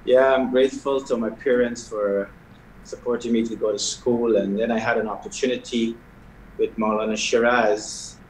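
A middle-aged man from Guyana, a prison chaplain, answering questions in a measured way with hesitations over a video call. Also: medium pitch, steady tone, slight background noise. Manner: measured, soft-spoken with hesitations. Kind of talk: interview answers. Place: video call from an indoor hall. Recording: echoey video call audio.

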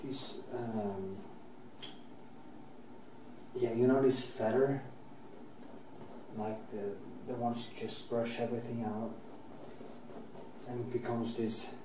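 A Norwegian man in his forties with a Norwegian accent, teaching oil painting in a loose, halting way as he works, stopping and restarting his sentences and swearing now and then. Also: medium pitch, fairly even intonation, dark tone, roomy sound, recorded from a distance, a little hiss or hum, low-quality recording. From Norway. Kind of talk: casual painting demonstration. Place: art studio, indoors.

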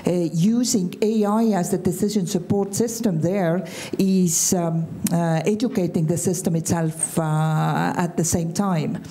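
A woman's voice: on the low side, steady tone, unhurried, few pauses, warm tone. Older Estonian woman speaking with hesitation. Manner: hesitant, many filler sounds. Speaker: panelist. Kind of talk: conference panel answer. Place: large conference hall stage. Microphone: handheld microphone.

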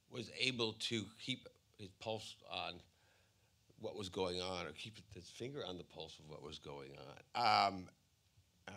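A man in his fifties telling anecdotes haltingly on a stage panel into a handheld microphone. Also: on the low side, bright, forceful tone. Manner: halting, pausing between phrases. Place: museum auditorium stage.

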